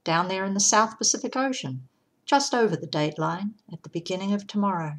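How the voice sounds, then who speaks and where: A middle-aged woman from New Zealand with a New Zealand accent, gently narrating a scripted tour of her art studio. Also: mid-range voice, expressive, a little fast. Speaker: artist giving a studio tour.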